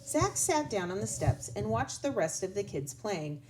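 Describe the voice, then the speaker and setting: A woman reading a children's storybook aloud as a lesson, expressively and deliberately, pausing to address young listeners. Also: mid-range voice, sing-song, darkish tone, slight background noise, low-quality recording.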